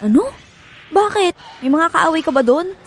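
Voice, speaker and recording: A woman's voice: very high-pitched, animated, moderate pace, a little hiss or hum. An actress playing a caring, inquisitive wife and mother in her thirties in naturalistic radio drama dialogue. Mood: curious. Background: music bed.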